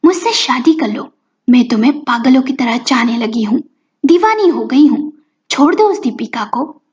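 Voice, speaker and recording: महिला के अभिनय में ऊँची आवाज़ वाली नाटकीय प्रेम-दीवानी युवती. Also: female voice, high-pitched, expressive, normal speed, darkish tone. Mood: desperate, infatuated. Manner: pleading, dramatic. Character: scheming lovesick young woman, pleading and melodramatic. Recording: dull lo-fi audio with music.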